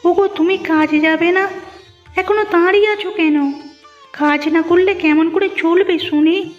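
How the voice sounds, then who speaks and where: A woman's voice: very high voice, steady tone, a little slow. A woman voicing a determined, bossy young village wife in a cartoon, insistent and nagging. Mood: bossy, content. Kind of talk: cartoon story dialogue. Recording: dull lo-fi audio, music behind.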